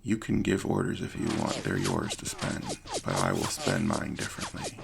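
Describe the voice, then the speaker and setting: A man's voice: low voice, wildly swooping pitch, moderate pace, gravelly, over loud music, heavily acted. A man voicing a defiant, battle-hardened woman soldier who gives curt, controlled commands in an audio drama. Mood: coldly defiant.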